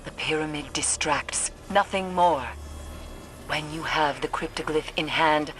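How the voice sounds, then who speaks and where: An actress playing a grim, haunted woman mystic in a video game, brooding, portentous and deliberate in manner. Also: low voice, a bit bright, slight background noise. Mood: grim, urgent. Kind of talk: dramatic video game acting.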